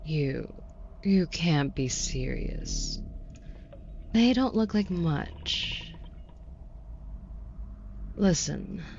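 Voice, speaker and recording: A muffled, slightly noisy recording carries a woman acting as a haughty female demon in an audio roleplay. Her voice is low and slow, drawling with sardonic, flirty condescension, like someone used to getting her way. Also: darkish tone. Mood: mocking, skeptical. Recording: muffled, with audible background noise.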